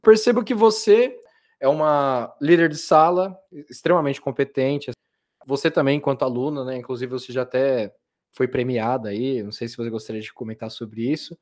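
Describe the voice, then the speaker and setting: A man's voice: mid-range voice, moderate pace, a little mellow. Man hosting a casual interview. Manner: friendly questioning, many tag questions. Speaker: podcast host. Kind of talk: conversational interviewing.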